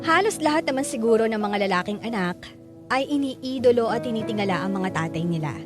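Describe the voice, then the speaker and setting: A woman's voice: on the high side, expressive, fairly quick, lightly acted. A woman voicing an earnest letter sender, narrating memories of an admired parent in a scripted radio drama. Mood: earnest, reflective. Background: background music.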